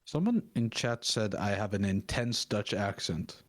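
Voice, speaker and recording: A man with a slight lisp co-hosting a football watchalong, relaxed and quick to laugh at himself. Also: fairly low pitch. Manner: easygoing, laughs often, self-deprecating. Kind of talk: casual chat reacting to a live match.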